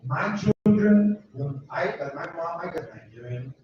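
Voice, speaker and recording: A man's voice: a little high, expressive, unhurried, background noise. A man leading a group through a neighborhood, talking in an emphatic, rambling way and repeating himself. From United States. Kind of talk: informal talk to a walking group. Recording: distant, echoey, muffled with loud ambience.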